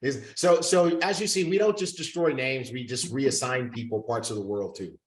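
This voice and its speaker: A man's voice: medium pitch, moderate pace, reverberant. A man hosting a business call, bantering playfully with the guests before handing over to them. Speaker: host.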